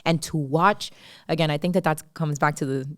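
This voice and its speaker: A woman co-hosting a casual podcast who steers the talk with earnest questions. Her voice is slightly low, smooth and clear. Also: fairly even intonation, fairly quick. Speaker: podcast co-host.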